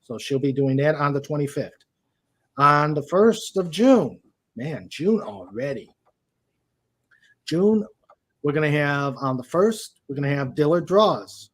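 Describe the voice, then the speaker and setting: Slow, halting man hosting a livestream. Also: on the high side, expressive. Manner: halting, searching for words. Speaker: host of a live-streamed show. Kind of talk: casual livestream chat.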